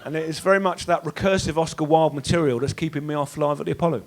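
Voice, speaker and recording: A man's voice: fairly high pitch, fairly even intonation, fast talker, background noise. Sweary comedian in his thirties. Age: 30s. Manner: sweary, sardonic, shouts punchlines at the crowd. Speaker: stand-up comedian. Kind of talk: stand-up comedy set. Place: dark comedy club stage with live audience. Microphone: handheld stage mic.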